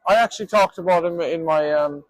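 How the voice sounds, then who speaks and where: A man chatting casually on the street in a halting way, repeating words and trailing off. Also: on the high side, dry recording, rough recording. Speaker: passer-by who streams. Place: outdoors on a town street.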